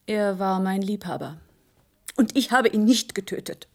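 A woman plays an elegant young model in a radio crime drama. She has a medium-pitched voice and speaks haltingly, with strained nerves. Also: natural voice. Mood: anxious, confiding. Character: nervous, elegant young female model under suspicion.

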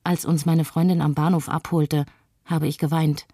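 A low, mellow female voice, recorded dry, plays a frightened young mother acted by a woman. She gives a calm, reflective testimony as a radio play monologue. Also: moderate pace, no echo. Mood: subdued, reflective. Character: frightened young mother recalling fleeing with her daughter.

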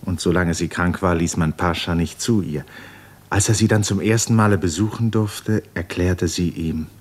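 Deep-voiced male radio drama narrator. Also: moderate pace, husky.

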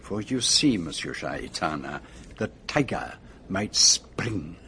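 An actor playing a shrewd, courteous foreign gentleman detective in a radio drama, speaking formally with precise, dry wit. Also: sits low, very animated, slow, a little hiss or hum, heavily acted. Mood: grave, measured. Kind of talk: radio drama dialogue and narration.

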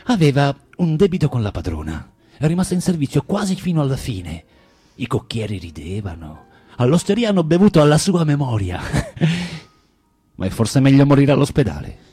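A brooding, husky, volatile man. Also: medium pitch, very animated, normal speed, mellow. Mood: insistent, scornful. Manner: brooding, hesitant, swinging between musing and outburst. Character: bitter, self-tormented man confessing, needling a young woman. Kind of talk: dramatic monologue and dialogue, literary radio theatre reading. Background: music.

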